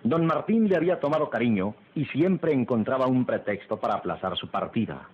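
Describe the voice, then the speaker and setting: Un hombre narra una radionovela antigua con estilo dramático, formal y grandilocuente. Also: male voice, mid-range voice, animated, fairly quick, slight background noise. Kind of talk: dramatic scripted radio serial narration. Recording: muffled old radio recording. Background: loud dramatic music.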